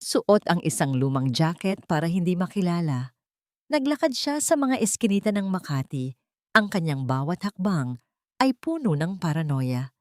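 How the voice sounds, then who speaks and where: A woman with a fairly low voice narrates a dramatic tale in a solemn, theatrical way. The sound is dry and close, with no room around it. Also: big pitch swings, normal speed, dry recording. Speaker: audiobook narrator. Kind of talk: dramatic scripted story narration.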